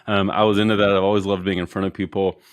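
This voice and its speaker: A man with a deep voice, a guest on a podcast, talking in an easygoing, jokey and self-deprecating way. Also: flat delivery, quick pace, dry recording. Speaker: podcast guest, retail consultant. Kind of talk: casual interview chat. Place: Houston.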